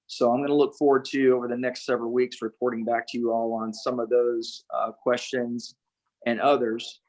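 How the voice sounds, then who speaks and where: Guy doing an official update on a conference call, pretty flat delivery, measured and matter of fact. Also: mid-range voice, rough recording. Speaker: city emergency management official. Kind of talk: spoken briefing to a council meeting.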